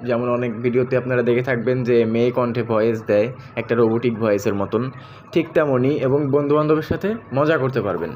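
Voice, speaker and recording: A man's voice: medium pitch, a little mellow, muffled recording. A man with a Bangladeshi accent narrating a step-by-step app tutorial, speaking directly to viewers and repeating each step. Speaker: tech tutorial host. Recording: faint background noise.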